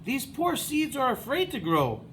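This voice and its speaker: A man's voice: extremely high pitch, wildly swooping pitch, a little slow, a bit bright, slight background noise, voice pushed up, heavily acted. A man voicing a sensible, helpful male frog from a children's storybook, giving reassuring instructions.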